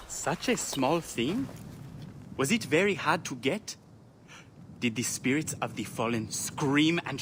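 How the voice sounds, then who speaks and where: An actor playing an earnest, imaginative royal son who longs to be a scribe, speaking formally and poetically in a video game. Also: male voice, a little high, fairly bright tone, a little hiss or hum. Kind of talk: dramatic game acting.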